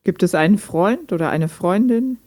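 A woman's voice: medium pitch, expressive, normal speed, darkish tone, close to an everyday voice. A woman playing a lonely, reserved hobby programmer in an audio drama, speaking in a dry, direct way. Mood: resigned, candid. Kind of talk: amateur audio drama dialogue, read chat.

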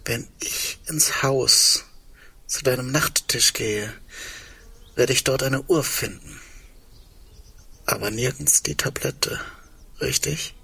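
A man playing a searching, tender man facing doom in an intimate audio drama, speaking haltingly and sometimes hushed. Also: mid-range voice, creaky, husky, heavily acted. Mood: probing.

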